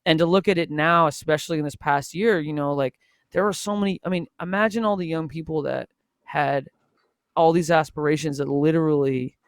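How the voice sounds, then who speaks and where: Smooth-voiced reflective man in his forties. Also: a little high, a little fast, no echo, clean studio sound. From Toronto, Canada. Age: 40s. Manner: earnest, reflective, restarts often. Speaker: music producer guest. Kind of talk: casual podcast chat.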